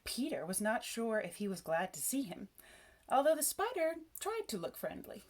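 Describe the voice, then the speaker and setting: A woman reading a children's story aloud, with expressive, measured narration and dramatic phrasing. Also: fairly high pitch. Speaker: storybook reader.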